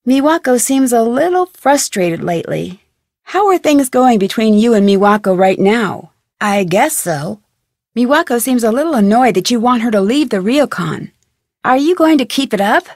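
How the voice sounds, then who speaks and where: A woman's voice: fairly high pitch, a little mellow, no room sound, clean studio sound. A woman voicing a curious, polite young amateur detective in a video game, with a friendly, inquisitive delivery. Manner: inquisitive, friendly, clearly articulated questioning. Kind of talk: video game dialogue, single scripted lines.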